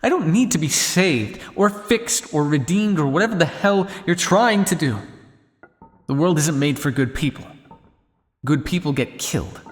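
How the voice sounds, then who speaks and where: A man's voice: on the high side, big-room sound, natural voice. A man playing a cynical, world-weary vampire scientist on the run in an intimate, condescending ASMR roleplay monologue. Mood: bitter, exasperated. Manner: sardonic, condescending, with exasperated sighs and dry asides.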